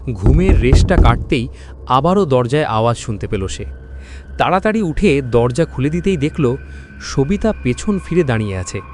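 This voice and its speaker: A man's voice: mid-range voice, a little mellow, slight background noise. A man narrating a scripted horror story in a dramatic storytelling style.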